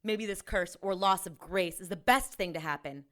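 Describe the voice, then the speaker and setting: A woman's voice: lowish voice, normal speed, fairly bright tone, natural voice. A woman playing a tough, exasperated ex-cop in an audio drama, with a blunt, sardonic manner. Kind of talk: naturalistic audio drama acting.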